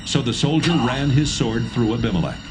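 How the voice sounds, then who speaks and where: A man narrating a dramatised Bible reading in a steady, measured way. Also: medium pitch. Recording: narration over music.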